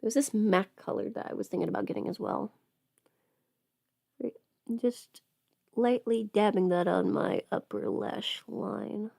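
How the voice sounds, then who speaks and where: A woman talks in a casual, chatty livestream style, rambling and thinking aloud in a playful way. Her voice sits at a medium pitch and is recorded close and dry. She is a little under the weather. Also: measured pace, creaky, pauses often, mellow, dry recording. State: sick with a cold or illness. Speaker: livestream host and audio performer. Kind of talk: casual makeup livestream chat.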